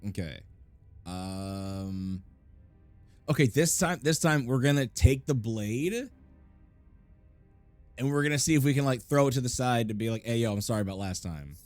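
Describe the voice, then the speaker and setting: A man with a hoarse, raspy voice giving chatty, excitable commentary while playing a video game. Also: medium pitch, normal speed, long pauses, no echo, soft background music. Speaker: let's play gamer. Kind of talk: casual gameplay commentary.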